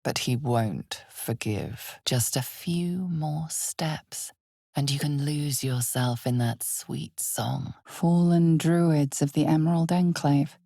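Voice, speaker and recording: A woman voicing a solemn, ominous narrator of a dark fantasy video game, with measured, dramatic storytelling. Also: low voice, creaky, no echo, clean studio sound, exaggerated voice. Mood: grave, dramatic. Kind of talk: scripted second-person fantasy game narration.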